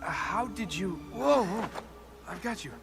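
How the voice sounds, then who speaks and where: An actor playing a young, roguish, wisecracking adventurer in a video game, with sarcastic, flippant banter. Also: male voice, sits high, expressive, a little slow, vocal fry, over music, heavily acted. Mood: wry, exasperated.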